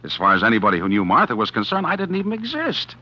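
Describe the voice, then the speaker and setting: A man's voice: mid-range voice, wildly swooping pitch, quick pace, sharp tone, full character voice. An actor playing a calculating man who narrates his plan to poison his wife in a 1940s radio drama, hard-boiled and confiding, with nervous stammers. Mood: brooding. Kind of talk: first-person narration in 1940s radio acting. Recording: dull 1940s radio recording with music underneath. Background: music.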